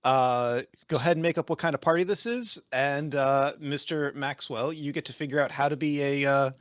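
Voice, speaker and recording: A man's voice: on the high side, moderate pace, a bit bright, no echo, clean studio sound. A man hosting improv games on a podcast, upbeat and chatty. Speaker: host running improv games. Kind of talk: casual chat.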